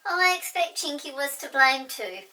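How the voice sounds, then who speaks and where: Lady putting on a sweet old pixie mum, squeaky high and swoopy, storybook voice. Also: animated, measured pace, bright, forceful tone, recorded from a distance, heavily acted. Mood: worried. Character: kindly old female pixie mother.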